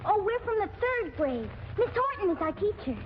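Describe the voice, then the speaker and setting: An earnest young schoolchild with an extremely high little voice. The delivery is innocent and literal, in the manner of 1940s radio comedy. The sound is dull and dated. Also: sing-song, moderate pace, background noise. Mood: curious, innocent. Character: earnest, naive young schoolchild petitioning the government. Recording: muffled 1940s radio broadcast.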